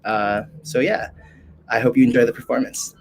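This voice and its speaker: A man, mid-pitched, introduces himself as a pop singer-songwriter. He keeps it brief and polite, with a slight hesitancy. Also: steady tone, moderate pace, background noise. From Indonesia.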